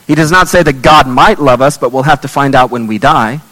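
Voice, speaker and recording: Hoarse male preacher in a reverberant hall. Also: mid-range voice, pushed and bright. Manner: earnest, measured preaching with scripture readings. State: losing his voice. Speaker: pastor. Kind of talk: sermon.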